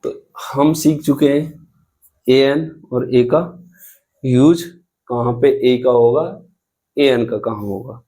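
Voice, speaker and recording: A man teaching a grammar lesson, explaining rules slowly and spelling out examples, often asking the students questions. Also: mid-range voice, steady tone, warm tone. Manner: slow, deliberate, repeats and spells out examples.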